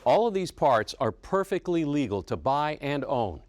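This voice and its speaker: A man's voice: a little high, big pitch swings, normal speed, heavy background noise. A man with an American accent reading a scripted television news report as the reporter.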